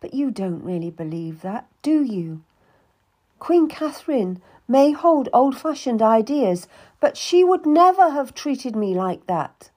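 A woman's voice: fairly low pitch, animated, normal speed, hardly any pauses, mellow, breathy edge. A woman voicing a young lady-in-waiting who speaks in a raised, pained and reproachful voice. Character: young female lady-in-waiting, hurt and angry.

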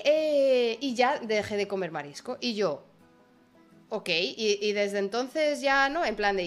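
Woman, medium pitch, chatty storyteller, video call, faint music behind. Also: fairly bright tone. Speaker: host. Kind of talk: casual chat, storytelling.

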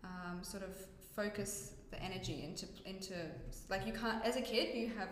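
A woman's voice: mid-range voice, fairly even intonation, normal speed, a little hiss or hum. A young woman in casual chat, explaining step by step with many ums and restarts; her first language is Greek. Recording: echoey room with long reverb.

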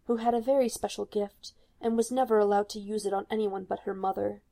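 Woman voicing a quietly dangerous young maiden. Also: brisk, gravelly, lightly acted. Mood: cold resolve. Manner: low and steady.

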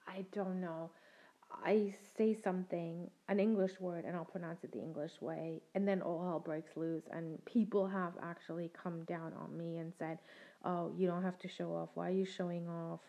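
A woman's voice: on the low side, little pitch movement, moderate pace, dark tone. A young adult woman hosting a podcast, telling her own story in a reflective, conversational way and laughing now and then. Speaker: podcast host. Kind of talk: personal storytelling monologue. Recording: dull lo-fi sound.

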